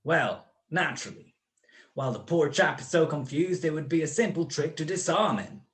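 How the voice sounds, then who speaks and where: A man's voice: on the high side, lively intonation, moderate pace, lightly acted. A man acting a smug, cold-blooded criminal mastermind in a radio play, with precise, mocking, grandiloquent speech. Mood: sly, smooth.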